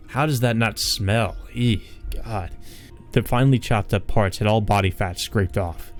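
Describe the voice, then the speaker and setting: A man hosting a let's play, reading a visual novel aloud and adding casual asides. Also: medium pitch. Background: game music.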